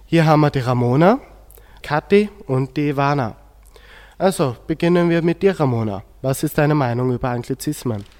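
A man's voice: on the high side, expressive, moderate pace, darkish tone. A male teenage student with an Austrian accent, moderating a radio discussion. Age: teens. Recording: long hall-like reverb.

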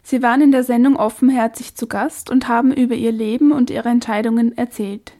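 A woman's voice: fairly high pitch, steady tone, fairly quick, dark tone. A woman radio presenter reading a scripted introduction.

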